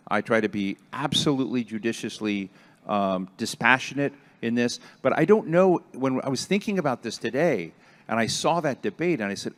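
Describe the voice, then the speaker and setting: A man moderating a live panel discussion, talking in a rambling, conversational way. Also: medium pitch. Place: stage before a live audience.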